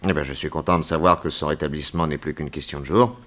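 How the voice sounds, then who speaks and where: A man playing a courteous, probing private detective in a 1960s French radio mystery, with measured questioning. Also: rumbling low, fairly even intonation, fairly bright tone. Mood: kindly. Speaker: lead actor in a detective serial.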